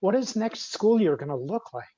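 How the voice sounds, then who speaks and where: Muffled video call, soft dark voice, emphatic, asking rhetorical questions. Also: mid-range voice, very animated, moderate pace. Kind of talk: informal meeting question.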